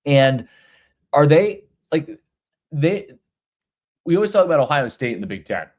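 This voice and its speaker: A man's voice: a little high, very animated, a little slow, darkish tone. A middle-aged man co-hosting a podcast, talking college football casually with many restarts and repeated words. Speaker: podcast co-host. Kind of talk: casual sports talk.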